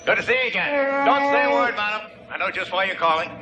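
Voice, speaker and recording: A chatty, high-voiced space shopkeeper. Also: male voice, expressive, quick pace, noisy background. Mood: salesman patter. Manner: rambling, ingratiating. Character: chatty, eager, bumbling male shopkeeper in space. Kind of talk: 1970s television variety acting.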